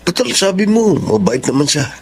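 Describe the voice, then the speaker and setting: A man's voice: a little high, very animated, moderate pace, a little mellow, a little hiss or hum. An actor playing a jobless husband pushing his wife to use her boss's kindness. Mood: pushy. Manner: pushy, persuading. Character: jobless husband, pushy and practical.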